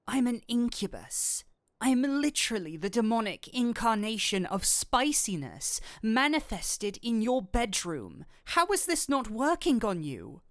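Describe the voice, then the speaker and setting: A woman voicing a flirtatious incubus demon who is secretly an excitable nerd, in a scripted roleplay with theatrical, formal phrasing that slips into flustered gushing. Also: on the high side, no echo, studio quality, heavily acted. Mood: flustered, indignant. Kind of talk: scripted roleplay audio addressed to the listener.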